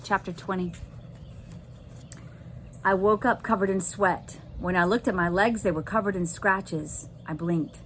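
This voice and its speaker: A woman reading a children's novel aloud with expressive, dramatic narration. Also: mid-range voice, a little slow, long pauses, slight background noise.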